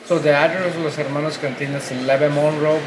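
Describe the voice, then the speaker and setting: A man's voice: a little high, flat delivery, quick pace, noisy background. A young man from Guatemala, co-owner of a restaurant, answering questions in a casual interview, often stopping and restarting his sentences.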